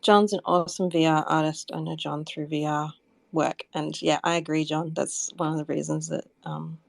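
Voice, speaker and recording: Low, creaky-voiced woman in casual conversation. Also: steady tone, moderate pace, no echo. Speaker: community member being interviewed. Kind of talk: casual interview answers.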